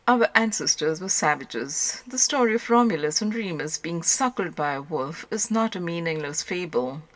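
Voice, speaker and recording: A woman's voice: sits low, fairly bright tone. A woman reading literary prose aloud as a scripted audiobook narration.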